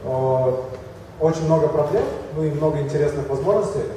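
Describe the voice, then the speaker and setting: A man with a Kyrgyz accent, slightly hoarse from a cold, giving a halting conference talk with slides. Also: a little high, dark tone, a little hiss or hum. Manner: halting, many fillers and restarts. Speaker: conference speaker. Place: large echoey hall. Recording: echoey hall from far off.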